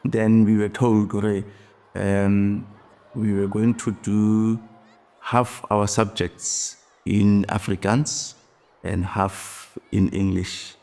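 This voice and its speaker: A South African man in his sixties, reflecting slowly on his past in an interview. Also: fairly low pitch, flat delivery, warm tone. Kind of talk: recounting personal history.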